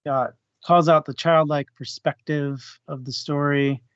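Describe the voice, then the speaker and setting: Unhurried man in dry, muffled audio. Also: on the high side, steady tone, clean tone, dry recording. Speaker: book discussion co-host. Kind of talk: casual book discussion chat.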